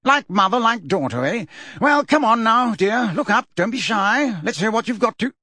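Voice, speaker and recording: A bluff, pompous politician, hearty on the surface and impatient underneath, as a man plays him in a raised storytelling voice. He sounds lively and a little husky, recorded close and dry. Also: high voice, moderate pace, no echo, voice pushed up, full character voice. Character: blustering, pompous middle-aged male politician.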